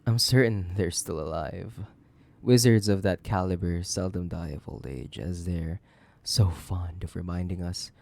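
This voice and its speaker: A man playing a sadistic, possessive, centuries-old vampire in a horror roleplay, theatrical and menacing, with a creaky voice that lapses into breathy whispers. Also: low voice, darkish tone, full character voice. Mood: vengeful. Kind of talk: dramatic horror roleplay audio, addressing the listener.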